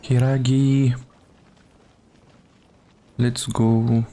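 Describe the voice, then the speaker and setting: A game streamer reacting casually off the cuff, in halting asides. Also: mid-range voice, level tone, mellow.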